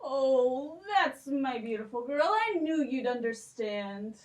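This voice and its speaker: A doting, worried old father with a folksy lilt, as a woman puts him on for a puppet show. His voice rises and falls in wide swings and he speaks slowly. He sounds a little off the mic. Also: high voice, animated, reverberant, far from the mic.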